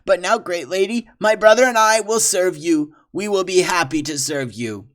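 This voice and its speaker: A man voicing a cowardly, blubbering male trapper who wails and pleads in a high, loud voice. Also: moderate pace. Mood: pleading.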